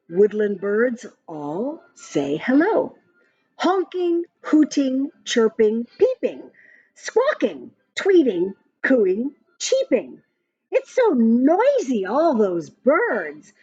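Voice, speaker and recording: A woman reading a rhyming picture book aloud to young children in an expressive, sing-song way, with counting and little asides. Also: on the high side, breathy edge. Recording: muffled lo-fi audio with background music. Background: music from a picture-book app.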